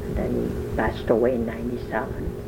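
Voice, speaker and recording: A woman's voice: a bass voice, little pitch movement, a little fast, creaky, noisy background. An older woman from France with a French accent, telling her life story in a rambling, conversational way in an interview. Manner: rambling, conversational, many restarts. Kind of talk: oral history interview.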